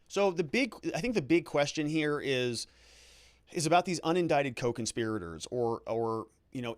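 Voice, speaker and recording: A man's voice: mid-range voice, clean tone, no echo. Dry-sounding man giving live conversational commentary. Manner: conversational, hedging, with emphatic repeated words. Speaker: host of a legal commentary livestream. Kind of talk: live commentary, thinking aloud and reading viewer comments.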